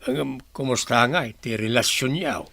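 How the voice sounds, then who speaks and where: A man playing a caring older village father who gives advice, gravelly and Ilocano-accented, in a radio drama. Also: mid-range voice, normal speed, bright, forceful tone, no room sound. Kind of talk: radio comedy-drama acting.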